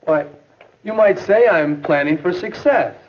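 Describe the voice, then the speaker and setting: An American actor playing an earnest, self-doubting high school boy athlete in a 1950s educational film, with stagey delivery. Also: expressive, a little slow, slight background noise. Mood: earnest, hopeful. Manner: earnest, stagey thinking aloud. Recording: muffled old 1950s film soundtrack.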